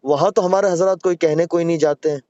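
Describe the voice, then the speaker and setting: Male caller, slightly high voice, deferential and earnest in explaining himself, dull phone-call recording. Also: fairly even intonation, fast, dark tone, no room sound. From Gujarat, India. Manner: deferential, earnest, repeatedly addressing the listener respectfully. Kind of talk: private phone conversation, pleading explanation.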